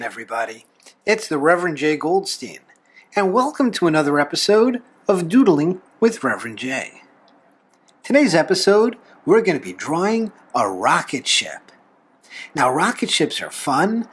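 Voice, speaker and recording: A man with a slightly high voice and very animated intonation walks the listener through a drawing. He explains each step in a folksy, unhurried way, pausing as he goes. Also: slightly rough. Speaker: host of a drawing tutorial show, reverend. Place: talking from behind a camera while drawing.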